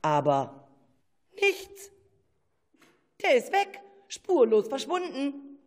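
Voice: high voice, huge pitch swings, extremely slow, big-room sound. A narrator telling a children's radio play with a dramatic storytelling delivery.

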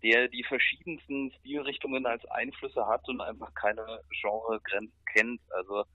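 A man's voice: medium pitch, little pitch movement, moderate pace, no echo. A man chatting casually as a musician guest in an interview. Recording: tinny, phone-like line.

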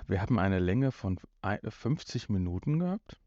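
A man in his fifties hosts a casual podcast with a relaxed, mellow voice. He rambles and jokes as he goes. The sound is slightly muffled and roomy, as if recorded at home. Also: fairly low pitch, moderate pace. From North Rhine-Westphalia, Germany. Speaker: podcast host. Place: room in a family home.

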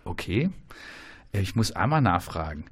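A man's voice: sits low, sing-song, measured pace, husky, dry recording, studio quality. A man hosting a radio show in casual, jokey chat, laughing often.

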